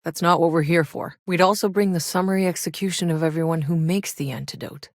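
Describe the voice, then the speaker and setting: Woman doing tough wry soldier lady for a video game, low pitched, terse and matter of fact, dry studio sound. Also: quick pace, no room sound, lightly acted. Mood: dry, firm. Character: tough, wry female soldier scouting a hostile alien world. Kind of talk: scripted video game voice lines.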